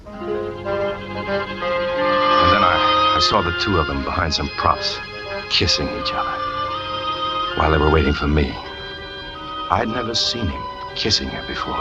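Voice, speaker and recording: An actor playing a brooding trapeze artist who confesses in an intense, dramatic first-person radio thriller narration. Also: male voice, very deep voice, muffled recording. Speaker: narrating lead character.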